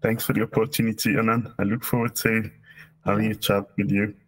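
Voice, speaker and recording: Cameroon-born man in his forties, husky and lowish, halting interview guest on a webcam call. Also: little pitch movement, low-quality recording. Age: 40s. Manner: hesitant, many fillers and restarts. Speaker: guest, operational research professor. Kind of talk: casual interview chat. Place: small office indoors. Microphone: video call webcam.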